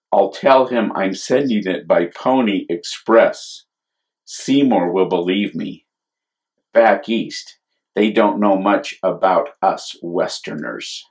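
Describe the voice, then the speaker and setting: A man's voice: on the low side, pitched below the performer's own voice. A man with an American accent voicing an earnest, imaginative young boy in a picture book story. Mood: hopeful. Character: wide-eyed, imaginative young boy moving out west.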